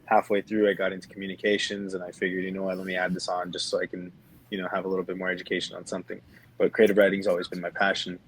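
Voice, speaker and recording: A man's voice: low-pitched, monotone, rapid-fire, a little hiss or hum, poor audio. A man in his twenties telling a personal story casually as a podcast co-host, peppering it with filler phrases. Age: 20s.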